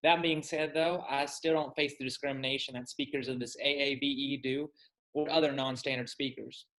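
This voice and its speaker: A man's voice: mid-range voice, steady tone, normal speed, a bit bright, low-quality recording. Southern US male panelist, earnest scripted read. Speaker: panel guest.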